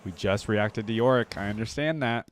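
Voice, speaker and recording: A man hosting a reaction video, talking casually as an enthusiastic fan and thinking out loud. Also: fairly low pitch, normal speed, slight background noise.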